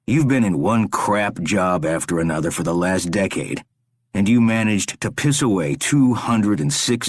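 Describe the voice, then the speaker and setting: A man doing a soft-spoken menacing captor. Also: deep voice, slightly rough, dry recording, studio quality, exaggerated voice. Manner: slow, soft, quietly menacing.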